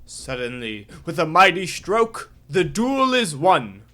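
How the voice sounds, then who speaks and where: A dramatic radio serial narrator, played by a man, with a voice set a bit high. He delivers scripted adventure narration over clear background noise. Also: sing-song, slow pace. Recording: clearly noisy recording.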